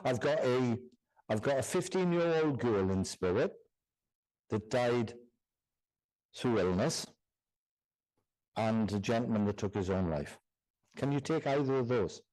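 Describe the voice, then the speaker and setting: A male medium addresses a church congregation during a service. His speech is halting and repetitive, and he keeps checking that his listener follows him. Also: medium pitch, expressive, darkish tone, low-quality recording. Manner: repetitive, checks in often with "do you understand?". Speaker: guest medium.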